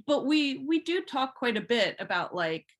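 A woman's voice: high-pitched, big pitch swings, moderate pace. A woman guest on a podcast, chatting informally and with some self-deprecation about her writing. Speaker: podcast guest, fan fiction writer. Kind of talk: casual interview chat.

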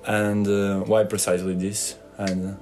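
A man's voice: on the low side, little pitch movement, normal speed, a little mellow, soft background music. A young man from Portugal with a Portuguese accent, leading a casual group interview and hesitating often with fillers. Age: young adult. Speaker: student interviewer.

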